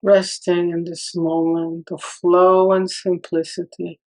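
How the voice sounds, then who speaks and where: A woman leading a guided meditation in a calm, soothing manner, leaving long pauses between short phrases. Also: fairly low pitch, rough recording.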